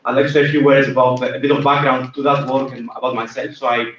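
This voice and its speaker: A man's voice: medium pitch, level tone, fast. A man with a Greek accent speaks at a conference, hesitant and filling gaps with "uh". He sounds distant and roomy, with dull sound and faint noise behind him. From Greece. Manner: hesitant, many fillers. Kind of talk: conference talk. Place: conference room.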